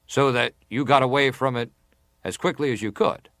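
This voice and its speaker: A persistent senator , played by a male actor, questions a witness in a dramatized hearing. His delivery is formal and deliberate at a medium pitch, recorded close and dry. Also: fairly bright tone, no room sound, lightly acted. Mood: insistent, methodical. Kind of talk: dramatized reading of hearing testimony.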